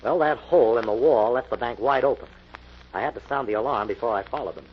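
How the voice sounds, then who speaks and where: An actor playing a calm, confident detective, explaining things articulately in 1940s radio mystery style. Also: male voice, medium pitch, fast talker, lightly acted. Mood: assured, explaining. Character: Jim, calm confident male detective explaining a foiled crime. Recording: dull, noisy old-time radio recording.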